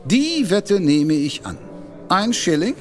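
Proud detective, fairly high, very lively, emphatic, faint music behind. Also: male voice, slow pace, full character voice. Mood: indignant, lively. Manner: emphatic, indignant. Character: proud, protective male detective, adult. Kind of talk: German audio drama acting. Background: faint background score.

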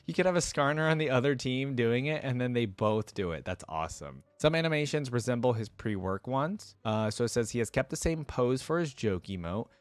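A young man hosts a reaction video in a chatty, informal way. His voice sits at a middling pitch. He moves between casual comments and reading aloud, recorded dry and close on a desk microphone. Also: expressive, brisk, hardly any pauses, dry recording, faint music behind. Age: young adult. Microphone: desktop microphone close to the mouth.

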